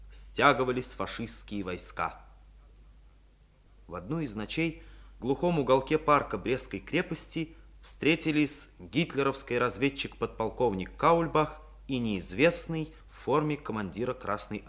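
A man's voice: medium pitch, fairly bright tone. A man reading scripted narration for a 1950s Soviet radio drama in a solemn, declamatory manner. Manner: solemn, declamatory, measured diction.

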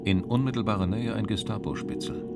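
A man's voice: on the low side, fairly quick, lightly acted. A man narrating a radio play, announcing scenes in a terse, clipped, scripted style. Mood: sober, tense.

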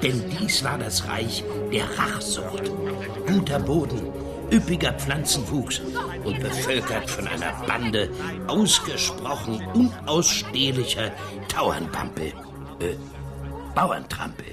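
An actor playing a bumbling storyteller in a comic radio play, deliberate and clumsy with words. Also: male voice, mid-range voice, very animated. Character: bumbling male storyteller garbling his words. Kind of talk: scripted comic radio narration. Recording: music under the voice.

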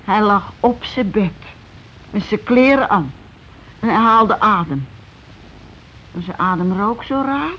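A muffled old radio play recording with some noise carries the voice of a shaken housewife. She is working-class and plain-spoken. Her lines come haltingly, with repeats and broken-off starts. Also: mid-range voice, animated. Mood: matter-of-fact, dazed. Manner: halting, repetitive, falters mid-sentence. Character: shaken working-class housewife circling around grim news. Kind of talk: naturalistic radio play dialogue.

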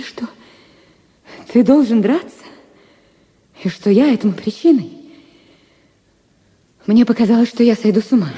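An actress playing a heartbroken married woman reading her farewell letter to a lover in a radio drama, in a hushed, slow, confessional way. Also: a little high, close to an everyday voice. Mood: anguished. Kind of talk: Russian literary radio drama, letter monologue. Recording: music under the voice. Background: music.

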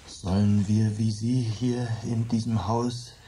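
Anxious husband, radio play, lowish dark voice, subdued urgency, faint noise. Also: male voice, fairly even intonation, slow pace, low-quality recording. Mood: grave. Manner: subdued, urgent, low-key. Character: worried husband pleading with Rachel. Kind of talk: German radio drama acting.

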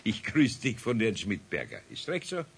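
A man's voice: lowish voice, huge pitch swings, moderate pace, a bit bright, slight background noise, exaggerated voice. An actor playing a good-natured older master craftsman with a Bavarian accent, homely and patient in a hesitant way, in a children's radio play. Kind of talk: 1970s German children's radio play acting.

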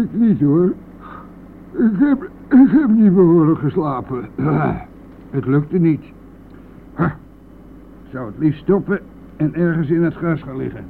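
A man's voice: on the high side, creaky, exaggerated voice. An actor playing a chatty, coarse, self-pitying, hard-drinking bus driver who needles his passenger in a colloquial radio-drama style. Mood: leering, joking. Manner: garrulous, jovial, needling, colloquial. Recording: tinny, band-limited, noisy old radio-play recording.

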